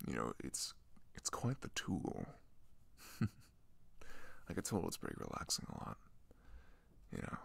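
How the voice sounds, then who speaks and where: A man playing a shy, sweet young best friend in an ASMR roleplay, talking gently and hesitantly, close to the mic. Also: rumbling low, huge pitch swings, slow pace, gravelly, pauses often, slightly rough, heavily acted. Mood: flustered. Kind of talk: ASMR roleplay, soft intimate comforting talk. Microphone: close microphone.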